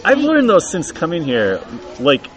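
Animated, curious man chatting. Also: fairly high pitch, a little slow, crowd noise. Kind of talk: casual chat.

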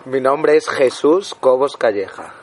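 A man's voice: medium pitch, unhurried, slight background noise, rough recording. Madrid-accented man chatting as podcast guest. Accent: Madrid Spanish. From Spain. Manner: animated and questioning, colloquial. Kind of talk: casual conversation.

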